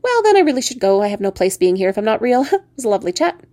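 A cheeky storybook frog prince, acted out by a woman in a creaky, close, dry voice. He woos with theatrical, courtly pomp and teases as he flirts. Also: a little high, big pitch swings, rapid-fire, dark tone, no room sound. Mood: polite farewell. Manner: theatrical, mock-courtly wooing.

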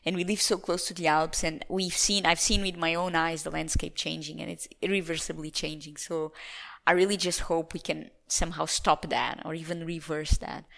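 Portuguese-accented woman, lowish voice. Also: vocal fry. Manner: animated, with repeated restarts and stumbles. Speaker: climate scientist guest. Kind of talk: conversational interview answers.

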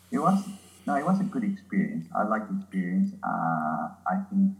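A man's voice: low-pitched, dull audio. A man from El Salvador with a Salvadoran Spanish accent, explaining things casually as an interview guest. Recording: echoey, roomy sound.

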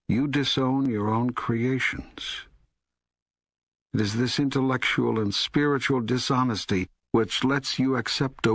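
An older man voicing God as a wise, patient teacher in an audiobook, raspy, measured and authoritative, with emphatic pauses. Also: mid-range voice, a bit bright, no echo, clean studio sound. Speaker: audiobook co-narrator. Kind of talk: scripted narration, spiritual dialogue.

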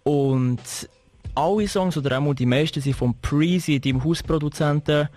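A man's voice: fairly high pitch, moderate pace, darkish tone. A man with a Swiss German accent hosting a casual radio interview, speaking informally with English slang mixed in.

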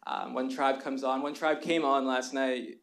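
A slightly hoarse man moderating a live panel discussion in front of an audience, laying out the plan in a structured, explanatory way. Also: mid-range voice, level tone, measured pace, fairly bright tone. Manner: structured, explanatory, with fillers like "kind of". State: slightly hoarse from singing the night before. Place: lecture room with a live audience.

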